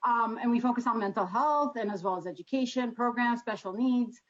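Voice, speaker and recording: A woman commissioner giving an earnest, halting welcome during a video call meeting. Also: on the high side. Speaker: commissioner, association president. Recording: dull lo-fi video call audio.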